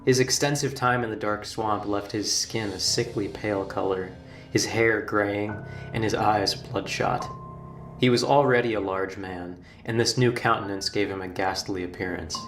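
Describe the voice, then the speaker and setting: A man narrating a scripted horror documentary in a measured, formal storytelling manner. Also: on the low side, steady tone, fairly bright tone. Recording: roomy, distant playback with music. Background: background music.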